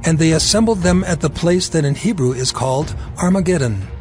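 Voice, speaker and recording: A man narrating dramatized scripture in a solemn, deliberate manner. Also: fairly high pitch, lively intonation, a little mellow, soft background music.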